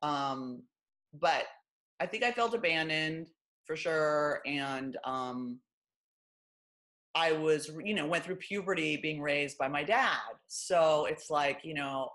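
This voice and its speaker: Woman, deep low voice, bright forceful tone, wry and self-deprecating, chatty with lots of "you know". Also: slow. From Los Angeles. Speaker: guest, author and former stand-up comic. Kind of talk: candid conversational interview.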